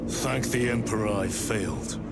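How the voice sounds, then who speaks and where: An actor playing a dutiful, earnest space marine sergeant in a video game cutscene, speaking formally. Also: male voice, fairly low pitch, normal speed, over loud music, close to an everyday voice. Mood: contrite.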